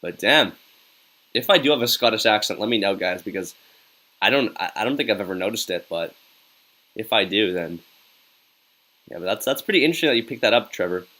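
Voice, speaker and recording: A man's voice: fairly low pitch, expressive, a little fast, long pauses, sharp tone. A young man hosting a casual live stream, reading viewer comments aloud in an upbeat, chatty way and often tossing in "yo". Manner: upbeat and chatty, says "yo" a lot, hypes up viewers. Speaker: live-stream host reacting to a hockey game.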